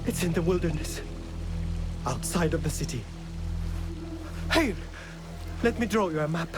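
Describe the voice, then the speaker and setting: A man's voice: a little high, animated, measured pace, over music. A man playing a cowardly, servile informant in a video game, whimpering and pleading. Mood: fearful confessing. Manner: whimpering, grovelling. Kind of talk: video game cutscene acting.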